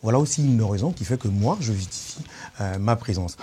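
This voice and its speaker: A man's voice: on the low side, lively intonation, fairly quick, warm tone, slight background noise. A man of Congolese origin, a political candidate, arguing his case in a debate, insistent and emphatic, often repeating words. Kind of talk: debate on a web TV show.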